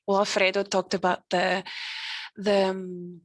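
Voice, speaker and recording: A woman from Norway speaking casually as a participant in an online panel discussion, with relaxed, hesitant talk. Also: medium pitch, measured pace, dry recording. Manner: relaxed, conversational, hesitant fillers. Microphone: video call.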